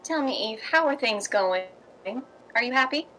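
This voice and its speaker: A woman playing a poised, worldly, reassuring playwright's wife in 1950s radio drama style, wry and measured. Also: on the high side, animated, a bit bright, a little hiss or hum.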